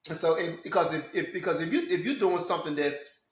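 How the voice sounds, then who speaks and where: A man's voice: fairly high pitch, lively intonation, moderate pace, roomy sound. A man from Memphis hosting a casual tech podcast chat, stumbling and repeating words as he explains. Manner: repeats words and restarts often. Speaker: podcast host.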